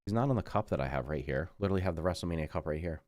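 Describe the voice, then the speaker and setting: Low-voiced congested man chatting on stream. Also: animated, rapid-fire, a little mellow, no room sound, studio quality. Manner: rambling, self-correcting, mutters while busy. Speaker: livestreaming gamer. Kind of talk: casual live stream chat.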